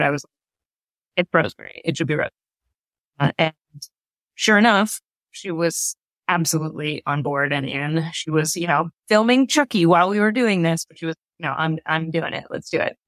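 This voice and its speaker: A woman's voice: fairly low pitch, dry recording. A woman giving casual interview answers as a director, telling things in an informal, anecdotal way. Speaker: interview guest, drama director.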